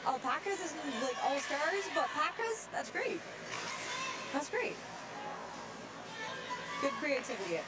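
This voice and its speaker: A woman co-commentating a hockey game in a light, playful way, with quick agreeing replies. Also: high voice, sing-song, over a noisy crowd, very noisy. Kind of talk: casual color commentary. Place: ice rink. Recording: loud rink ambience behind.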